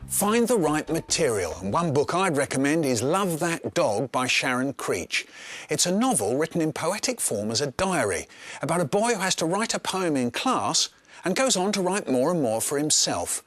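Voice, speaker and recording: Lively man presenting, high and sing-song. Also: moderate pace, dry recording. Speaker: presenter of a teaching programme. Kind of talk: scripted presenting to camera.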